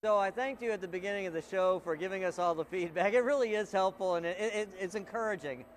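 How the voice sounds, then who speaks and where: Chatty higher-voiced male show host. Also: quick pace, slight background noise. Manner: loose, chatty, frequent restarts. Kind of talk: casual hosting chat.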